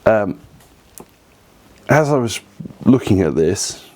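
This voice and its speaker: A man's voice: lowish voice, big pitch swings, slow, mellow. A man giving casual family travel advice, chatty and opinionated, with frequent ums and asides. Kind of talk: casual advice talk to camera.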